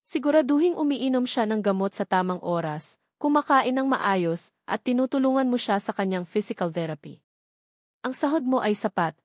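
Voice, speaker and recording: A woman's voice: medium pitch, no echo, studio quality, lightly acted. Woman doing a cold, commanding rich matriarch. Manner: calm, commanding. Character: cold, composed wealthy older mother.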